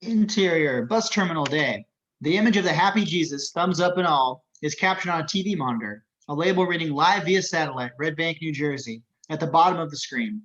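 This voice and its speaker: A man with a fairly high voice narrates screenplay action at a table read. He reads the scripted description, and the recording is dull and lo-fi. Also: lively intonation, fairly quick, a bit bright. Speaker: reader of stage directions. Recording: dull, lo-fi microphone sound.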